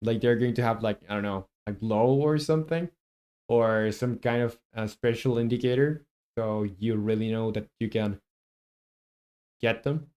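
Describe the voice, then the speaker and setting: A man's voice: mid-range voice, moderate pace, mellow, clean tone. A man who develops a game on a live stream, muses aloud while he codes and chats with viewers. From Chile.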